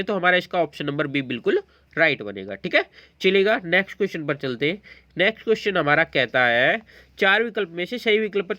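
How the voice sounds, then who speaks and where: Guy teaching exam questions, high bright voice, keeps checking you're following. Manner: explanatory, repeatedly checking in with listeners. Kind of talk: teaching, walking through quiz questions.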